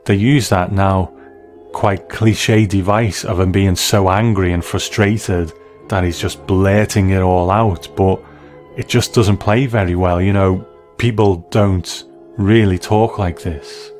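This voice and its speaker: A man delivering scripted review commentary in a measured, analytical way. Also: deep voice, fairly even intonation, darkish tone, faint music behind.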